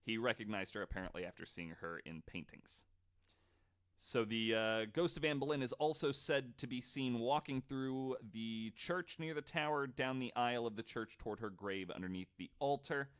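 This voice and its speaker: A man's voice: medium pitch, expressive, normal speed, a bit bright, clean tone. A man hosting a podcast, telling stories casually from notes with informal, sweary asides. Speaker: podcast host.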